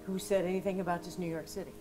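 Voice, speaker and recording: A woman's voice: fairly low pitch, little pitch movement, very fast, close to an everyday voice. A woman at a stage play table read playing a blunt, exasperated, challenging woman. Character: blunt, exasperated woman arguing about deadly disease. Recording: music behind the voice.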